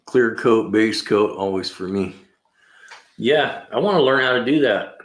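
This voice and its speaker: Deep-voiced man in his sixties, laid-back. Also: lively intonation, a little slow. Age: 60s. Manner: laid-back, rambling, "man" and "you know" often. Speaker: livestream host and mechanic. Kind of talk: casual livestream chat. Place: garage. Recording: echoey room.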